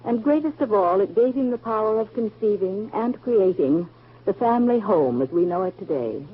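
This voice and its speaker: An actress playing a thoughtful grown woman in 1940s American radio drama, reciting in a measured, poetic way. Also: medium pitch, animated, breathy edge. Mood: reflective, reverent. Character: thoughtful grown woman Hazel of a family saga. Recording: muffled old 1940s radio recording.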